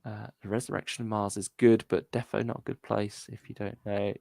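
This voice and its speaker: A man with a fairly low voice and heavy vocal fry co-hosts a casual fan discussion. He speaks in a hesitant, hedging way, recorded close and dry. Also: flat delivery, brisk, a little mellow, no room sound. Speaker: podcast co-host. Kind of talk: casual fan discussion, reading listener comments.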